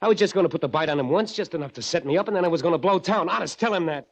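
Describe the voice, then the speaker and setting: An actor playing a nervous, slippery small-time crook of about thirty, pleading and slangy, in 1940s radio style. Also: male voice, a little high, rapid-fire.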